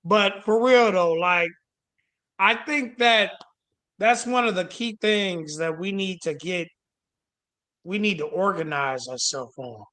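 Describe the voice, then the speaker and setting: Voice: medium pitch, slow, fairly bright tone. A panel host speaking casually and emphatically, with plenty of profanity and street slang. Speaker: host moderating a panel call.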